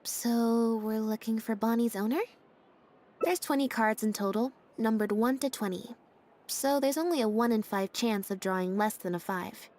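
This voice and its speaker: A woman voicing a deadpan young magician's assistant. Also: on the high side, moderate pace, natural voice. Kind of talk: video game voice acting.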